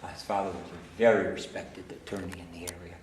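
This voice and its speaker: A man, a defense lawyer, speaking at a hearing in a relaxed, conversational way. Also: deep voice, fast. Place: large echoey hearing room. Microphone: recorded from far by a camcorder. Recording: echoey room, distant camcorder mic, some noise.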